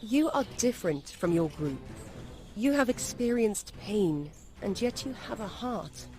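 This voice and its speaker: An actress as an ancient, highborn female vampire in a fantasy game. She is imperious and formal, with mid pitch and richly varied intonation. Music plays behind her. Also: expressive, a little mellow, close to an everyday voice. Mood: probing. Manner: formal, deliberate, aloof. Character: ancient noble vampire lady, composed and imperious. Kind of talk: video game voice acting.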